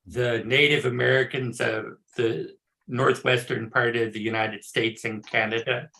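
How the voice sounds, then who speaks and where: An older male professor chatting casually on a video call before his talk, relaxed and quick to laugh. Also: medium pitch, gravelly, low-quality recording. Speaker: professor, guest speaker.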